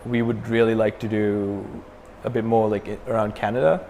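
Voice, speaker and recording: Young guy from sri lanka, low voice, rambly and laid back, reverby room with faint hum. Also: moderate pace. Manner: relaxed, many fillers and restarts. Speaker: metal band frontman, interview guest. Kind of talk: casual interview.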